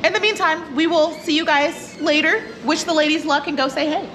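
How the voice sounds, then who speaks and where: A woman's voice: high voice, steady tone, a little fast. A woman hosting a casual chat, talking in a chatty way and often giggling. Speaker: host. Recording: noisy background.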